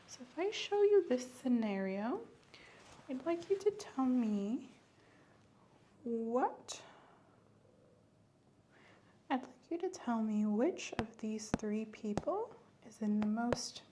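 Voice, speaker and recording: Mellow-voiced woman whispering a clinical exam. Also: on the high side, expressive, slight background noise. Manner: soft, slow, clinical instructions, often whispered. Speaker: ASMR host playing a clinical examiner. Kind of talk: ASMR medical exam roleplay.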